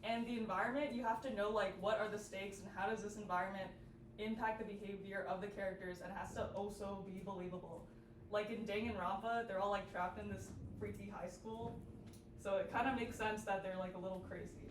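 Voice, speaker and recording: A woman's voice: medium pitch, steady tone, brisk. A young woman giving an informal workshop presentation in a casual manner, sprinkling "like" through her sentences. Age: young adult. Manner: casual, peppered with "like". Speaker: student club workshop presenter. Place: indoor workshop room with audience. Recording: echoey room, distant mic, noisy background.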